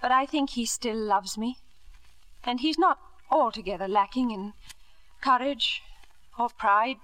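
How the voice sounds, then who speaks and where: A middle-aged wife in a vintage radio play. Her voice is fairly high and sharply bright. She is proud and coolly bitter, with a crisp, dignified, reproachful delivery. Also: a little slow, rough recording. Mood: pained, earnest. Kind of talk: 1950s radio drama acting. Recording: vintage 1950s radio broadcast.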